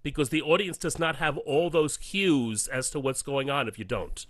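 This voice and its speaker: A man's voice: fairly high pitch, normal speed, a bit bright, no echo. A man speaking as an interview guest, explaining his process casually, step by step. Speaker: podcast guest, audio drama adapter.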